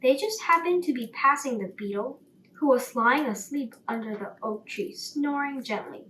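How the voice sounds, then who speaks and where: A woman's voice: high voice, lively intonation, normal speed, fairly bright tone. A woman reading a bedtime story aloud as a narrator. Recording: roomy, distant mic, faint noise.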